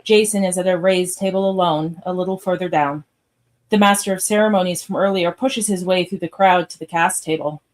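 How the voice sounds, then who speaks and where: A woman's voice: lowish voice, steady tone, fairly quick. A woman narrator reading screenplay stage directions aloud in a scripted live read.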